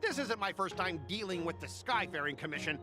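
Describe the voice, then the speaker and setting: An actor playing an irate, stubborn cargo worker in a video game, indignant, blustering and emphatic. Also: male voice, high-pitched, expressive, fairly quick, music in the background. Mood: defiant. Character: irate, stubborn male cargo-company worker, loud complainer.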